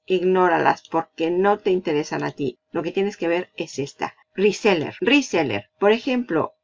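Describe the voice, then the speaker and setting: A woman in a lowish voice walks a viewer through a screen-recorded tutorial, chatty and explanatory. She repeats her points for emphasis. The voice-over sounds a bit dull and lo-fi. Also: gravelly. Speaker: online marketing tutor. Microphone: voice-over over screen capture.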